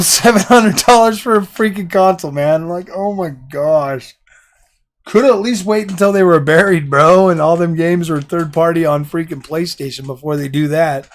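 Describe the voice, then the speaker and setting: A middle-aged man talks casually to viewers from his cluttered gaming room, his voice sitting a little high for a man. He rambles, circles back and second-guesses himself, and his voice is going hoarse. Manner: rambling and indecisive, repeats himself. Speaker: livestream host. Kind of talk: casual live stream chat with viewers.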